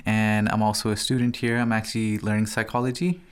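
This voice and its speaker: A man's voice: lowish voice, a little fast, smooth. Brief: young man from Pakistan, easygoing radio guest, chatty. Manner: relaxed, conversational, says "like" and "right?" often. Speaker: guest, student. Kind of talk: casual discussion.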